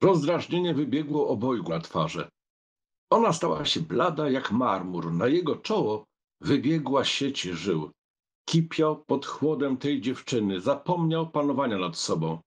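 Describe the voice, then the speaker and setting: Husky, expressive male audiobook narrator. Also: mid-range voice, unhurried.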